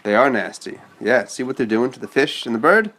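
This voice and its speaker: A man's voice: medium pitch, lively intonation, fairly quick, fairly bright tone. A man reading a children's story aloud and chatting patiently with a child, explaining as he goes. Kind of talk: read-aloud storytelling with casual asides to a child.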